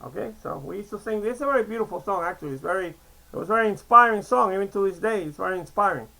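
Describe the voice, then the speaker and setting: A man in his sixties from Cuba, with a notably high voice for a man, chats loosely to his camera. He wanders from point to point, restarts sentences and tosses in "okay?" to keep his viewers with him. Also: lively intonation, racing, gravelly, sharp tone, rough recording. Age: 60s. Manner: chatty, digressive, repeats "okay?" and restarts often. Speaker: vlogger. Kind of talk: casual rambling monologue to camera.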